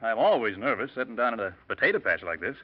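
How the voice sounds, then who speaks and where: This is an actor playing a bush pilot who speaks with wry bluntness and a nervous edge. His pitch moves in a lively way. The old broadcast sound is dull and slightly distant. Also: fast talker, a little hiss or hum, close to an everyday voice. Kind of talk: 1940s radio drama acting. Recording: dull old radio recording, a bit off mic.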